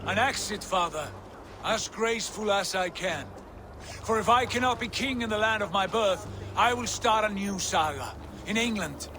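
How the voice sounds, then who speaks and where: A high-voiced, proud Viking prince. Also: male voice, lively intonation, normal speed, noisy background. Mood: bitter, resolute. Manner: declamatory, formal, solemn. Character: proud, ambitious Viking prince rallying his clan. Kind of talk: dramatic game cutscene acting. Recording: orchestral music behind the voice.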